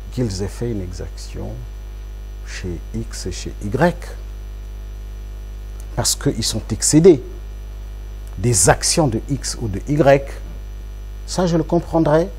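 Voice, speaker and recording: A man's voice: medium pitch, a little mellow, slightly rough, low-quality recording. A man from Congo, an opposition politician speaking in an interview, making his points emphatically with rhetorical repetition. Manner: emphatic, rhetorical, repeats phrases for effect.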